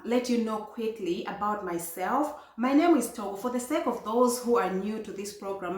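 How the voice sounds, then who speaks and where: A woman from Malawi, hosting a talk show in an earnest way and laughing between phrases. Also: medium pitch. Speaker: host and empowerment coach. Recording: echoey room.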